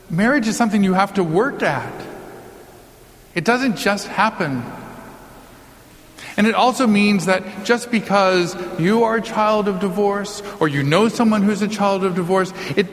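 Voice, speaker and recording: A man's voice: high voice, fairly bright tone. A man giving an informal homily as a priest, conversational and given to joking asides. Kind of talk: informal sermon. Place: large church or hall, live before a congregation. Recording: reverberant hall, long echo.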